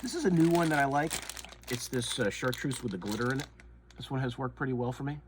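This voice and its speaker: A man's voice: mid-range voice, lively intonation, quick pace. Guy with a stuffy head cold rambling about his gear, lots of ums, bags crinkling and some noise behind him. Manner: rambling, repeats himself, many ums and restarts. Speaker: fishing channel host. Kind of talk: casual show-and-tell chat. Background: crinkling soft-plastic bait bags.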